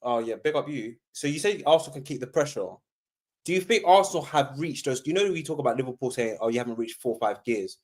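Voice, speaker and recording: A man's voice: medium pitch, animated, fast, darkish tone. A man chatting casually as a football fan panellist, informal and questioning.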